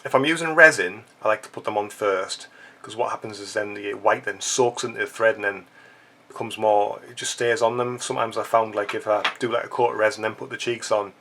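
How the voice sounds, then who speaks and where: A man's voice: on the low side, fairly even intonation, brisk, sharp tone, slight background noise. A man with a stuffy, blocked-up nose, chatting casually and joking as he walks through a fly-tying tutorial. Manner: chatty, joking asides while working. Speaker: fly-tying channel host.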